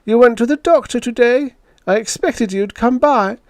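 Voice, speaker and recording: A nosy, pushy older woman neighbor, put on by a male reader. She coaxes and pries in a high, raised voice. The reading is dry and close. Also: moderate pace, no room sound. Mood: prying. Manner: coaxing and prying.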